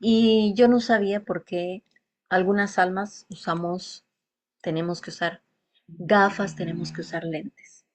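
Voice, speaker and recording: A woman with a fairly low voice shares a personal life story in a confessional monologue. She speaks reflectively and haltingly, breaking phrases with pauses as she addresses her listeners. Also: fairly even intonation.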